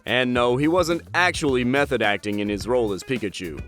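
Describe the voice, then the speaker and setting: A male narrator reads a scripted entertainment list. His medium-pitched voice moves up and down a lot. Soft music plays underneath. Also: lively intonation, a little fast. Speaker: video narrator.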